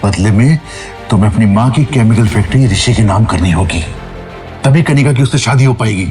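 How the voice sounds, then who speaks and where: A man's voice: fairly low pitch, expressive, fairly quick, a little mellow, faint music behind, lightly acted. An actor playing a greedy, scheming middle-aged father in an audio drama, with a domineering manner. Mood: calculating. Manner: domineering, scolding. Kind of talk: Hindi audio drama acting.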